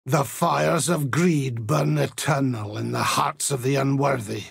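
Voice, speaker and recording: A grim, pious holy warrior for a fantasy video game. His older voice is heavily gravelled and very husky, speaking slowly with solemn, righteous conviction. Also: medium pitch, no room sound, clean studio sound, heavily acted. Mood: solemn resolve. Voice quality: gravelly, raspy. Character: zealous, grim Templar holy warrior of God. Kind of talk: video game character voice lines.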